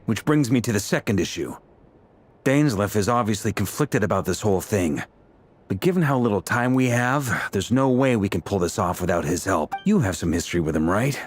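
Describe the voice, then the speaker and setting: Low, easygoing knight commander. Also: male voice, lively intonation, a little fast. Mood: businesslike, frank. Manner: conversational, down-to-earth, wry. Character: easygoing veteran knight commander, affable, wry, protective leader. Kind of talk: naturalistic video game voice acting.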